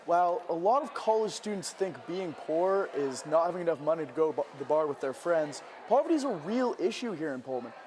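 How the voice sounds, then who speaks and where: A man's voice: a little high, lively intonation, brisk, few pauses, darkish tone, slight background noise. A young man reporting as a student TV journalist, reading scripted news with an emphatic broadcast delivery. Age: young adult.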